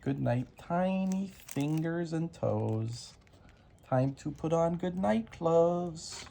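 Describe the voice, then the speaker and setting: Slow, mellow bedtime story reader with creaky edge. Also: medium pitch. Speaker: parent reading bedtime stories. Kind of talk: reading picture books aloud to a toddler. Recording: noisy amateur home recording.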